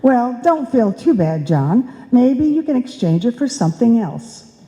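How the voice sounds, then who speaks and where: Woman acting a slow, needling wife, reverberant hall. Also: a little high, very animated, dark tone. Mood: mildly reproving. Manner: needling, long-suffering, deliberate. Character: nagging, martyred wife needling her husband. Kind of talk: old-time radio comedy acting before a live audience.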